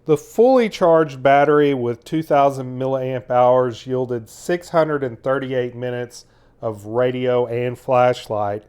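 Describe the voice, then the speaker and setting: A man narrating a product review as its host, explaining things in a measured, methodical way. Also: medium pitch, darkish tone, smooth.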